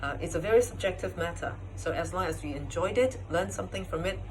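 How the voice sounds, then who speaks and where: A woman speaking as a competition judge, giving explanatory feedback to contestants in a halting way as she searches for words. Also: on the low side, a little mellow, a little hiss or hum, low-quality recording. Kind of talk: spoken feedback talk to contestants.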